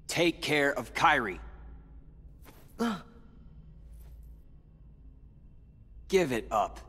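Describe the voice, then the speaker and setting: Slow-spoken, scornful teenage rival. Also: male voice, mid-range voice, a bit bright. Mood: cold scorn. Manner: cool, taunting, deliberate. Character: cold, scornful, brooding teenage boy rival. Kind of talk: video game cutscene acting.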